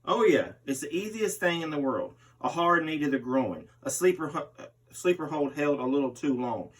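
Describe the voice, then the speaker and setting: A man's voice: fairly high pitch, animated, moderate pace, husky. A man reading a magazine interview aloud as a host, voicing the interviewee's part. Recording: distant microphone.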